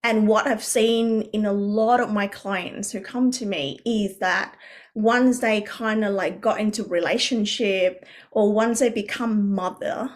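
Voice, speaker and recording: Lady from vietnam being interviewed, earnest and thoughtful, lots of ums and restarts, mid pitch. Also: fairly even intonation, unhurried. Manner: earnest, reflective, with many fillers and restarts. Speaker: guest coach. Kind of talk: podcast interview.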